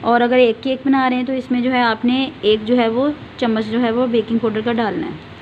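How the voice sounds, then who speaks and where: A woman's voice: a little high, fairly even intonation, brisk, muffled recording, a little hiss or hum. Patient woman demonstrating a home cake recipe. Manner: patient, repeats fillers often, directs viewer to look. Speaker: home cooking channel host. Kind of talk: step-by-step cooking demonstration.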